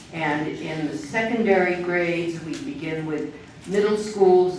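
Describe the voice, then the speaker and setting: An older woman giving a measured, explanatory public talk as an invited speaker. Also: sits low. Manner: measured, explanatory, with small laughs. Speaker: invited speaker on education standards. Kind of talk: public lecture. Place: echoey hall. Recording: echoey hall, distant mic, faint noise.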